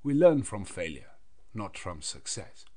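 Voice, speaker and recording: A man's voice: fairly low pitch, sing-song, unhurried, warm tone. A man voicing a grave, learned old Dutch professor from a classic novel, kindly and commanding, in emphatic, oddly phrased English. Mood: grave, instructive.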